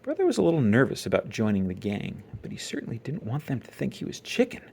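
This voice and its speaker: A parent reading a children's picture book aloud in an expressive narrating style. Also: medium pitch, fast talker, vocal fry, breathy edge. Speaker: storybook reader, parent.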